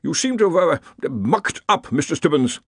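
Man playing a bluff, commanding head wizard, very animated. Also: moderate pace, slightly rough, dry recording, studio quality, heavily acted. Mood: bellowing, reproving. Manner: blunt, booming, cheerful authority.

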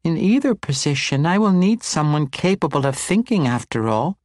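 A man voicing a tiny baby girl in a squeaky voice, speaking with imperious, sophisticated adult diction in a fantasy audiobook. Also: big pitch swings, moderate pace, darkish tone, dry recording, clean studio sound. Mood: impatient, taunting. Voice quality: squeaky, babyish.

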